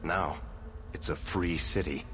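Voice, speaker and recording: A man playing a sly, wry storyteller in a fantasy game, narrating theatrically and deliberately over music. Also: low-pitched, fairly even intonation. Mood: ominous, dramatic. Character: sly, wry male storyteller spinning a grand tale. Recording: music behind the voice.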